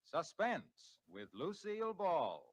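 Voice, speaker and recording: A man's voice: high voice, wildly swooping pitch, slow, dry recording. An American man delivering a 1940s radio commercial with folksy, exuberant salesmanship, on an old radio recording.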